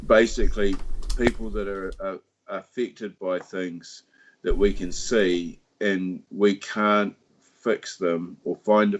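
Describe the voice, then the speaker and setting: A man with a New Zealand accent, talking casually on a livestream about his party, with lots of restarts and fillers. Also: sits low. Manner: halting, many restarts and fillers. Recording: dull lo-fi stream audio.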